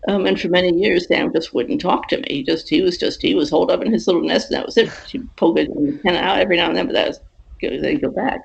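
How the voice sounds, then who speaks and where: A woman giving a casual interview as a guest author, explaining things haltingly and rephrasing as she goes. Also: fairly low pitch, gravelly, slightly rough, muffled recording.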